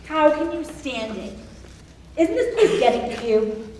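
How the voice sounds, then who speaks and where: A woman's voice: high-pitched, sing-song, measured pace, a little mellow. A woman playing a frightened, fretful new prison inmate in a staged reading of a musical. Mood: anxious. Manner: anxious, fretful. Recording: echoey room, distant mic, faint noise.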